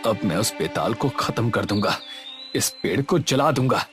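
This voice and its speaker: A man's voice: lowish voice, animated, normal speed, music in the background, natural voice. Man playing an earnest, determined young male avenger. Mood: accusing, determined. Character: grieving, determined young man confronting village evil.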